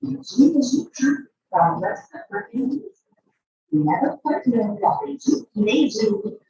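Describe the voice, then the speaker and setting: A woman reading a picture book aloud to a child, expressive, drawing out words and adding chatty asides. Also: sits high, heavy reverb, far from the mic, dull audio.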